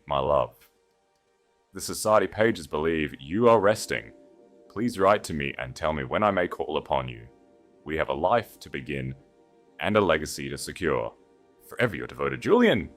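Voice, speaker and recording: A man's voice: very deep voice, animated, normal speed, soft background music. A man voicing an arrogant, self-satisfied earl in an audiobook, pompous and flowery with false devotion. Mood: smoothly condescending.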